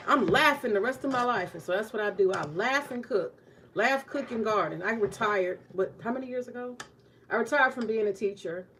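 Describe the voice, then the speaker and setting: A woman's voice: medium pitch, a little hiss or hum. A woman in her forties, a retired teacher, chatting candidly to viewers as she cooks in her home kitchen, joking and swearing freely. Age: 40s. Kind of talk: casual cooking vlog chat.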